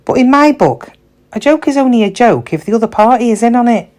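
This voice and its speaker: A woman's voice: on the high side, expressive, fairly quick, a little mellow, close to an everyday voice. A middle-aged woman playing a downtrodden shop cashier in a reflective, confiding, plain-spoken dramatic monologue about her regrets. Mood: hurt, reflective. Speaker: actor in a monologue. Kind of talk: first-person dramatic monologue.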